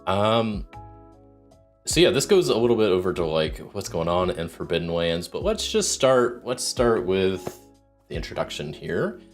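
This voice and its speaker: A man with a very deep, low voice, croaky from a sore throat that is starting to take his voice. He talks in loose, rambling livestream chat, full of ums and restarts as he greets viewers and explains rules. Soft music plays faintly behind him. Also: big pitch swings, a little slow. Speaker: solo RPG streamer.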